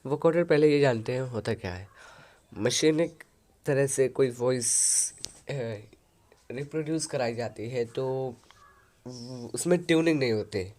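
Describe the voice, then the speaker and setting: A man hosting a software tutorial, explaining an audio editor step by step into a headset mic. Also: mid-range voice, measured pace. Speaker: tutorial host.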